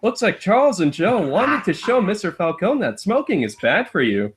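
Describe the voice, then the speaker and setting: A man's voice: high voice, big pitch swings, moderate pace, pitched above the performer's own voice. A man playing the narrator of a children's storybook, reading aloud in a sing-song way. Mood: cheerful storytelling.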